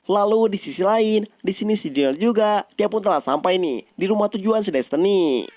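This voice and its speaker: A man's voice: sits high, expressive, moderate pace, a little mellow, dry recording. A man with an Indonesian accent narrating a story recap in a casual, colloquial storytelling style.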